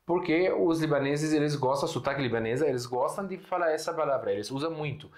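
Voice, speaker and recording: A man from Syria with a Syrian Arabic accent, a native Arabic speaker, teaching a casual online lesson. He explains slowly, drags out example words, and uses many fillers and restarts. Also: fairly high pitch, expressive, darkish tone, reverberant.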